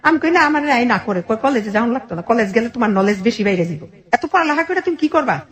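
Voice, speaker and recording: A woman's voice: on the high side, fast. A middle-aged woman with a colloquial Bangladeshi accent tells her life story informally, acting out what relatives said as she goes. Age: 40s. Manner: animated retelling with quoted family dialogue.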